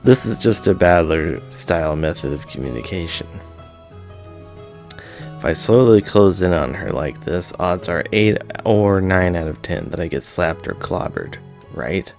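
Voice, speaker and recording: A man's voice: low-pitched, lively intonation, measured pace, vocal fry, a little mellow, soft background music. A man hosting a visual novel read-aloud, moving between informal chat and story narration.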